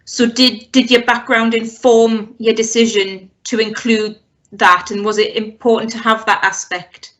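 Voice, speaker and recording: A woman's voice: a little high, level tone, unhurried, fairly bright tone. A woman hosting an author interview, asking conversational questions as a librarian. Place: online video event.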